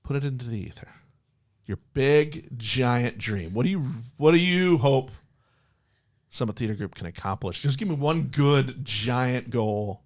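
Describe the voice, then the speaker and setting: A man's voice: medium pitch, expressive, measured pace. A man working as an interviewer, talking casually and affirming his guest in an encouraging way.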